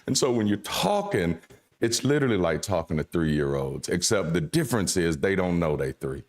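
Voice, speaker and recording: A man's voice: sits low, big pitch swings, normal speed. A man co-hosting a casual live-stream chat, ranting bluntly and with exasperation.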